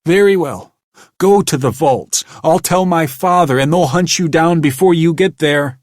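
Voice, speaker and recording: A man's voice: fairly high pitch, animated, measured pace, a little mellow, slightly rough, dry recording, clean studio sound, voice pushed up, exaggerated voice. A man voicing a proud, scheming young woman who snaps and hisses at her lover. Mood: sharp. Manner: hissing, snapping, then pleading.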